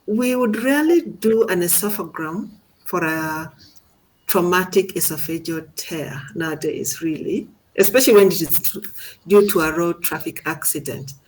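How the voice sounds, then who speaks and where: A woman's voice: lowish voice, normal speed, darkish tone, slight background noise, poor audio. A middle-aged woman, teaching radiology in an informal seminar and explaining things step by step with questions along the way. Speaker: radiology instructor.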